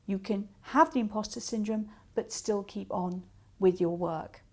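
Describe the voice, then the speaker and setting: A woman's voice: medium pitch, unhurried. A woman speaking as a coach, giving practical advice step by step with illustrative examples. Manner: explains step by step with acted-out example remarks. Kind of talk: instructional talk with practical tips and examples.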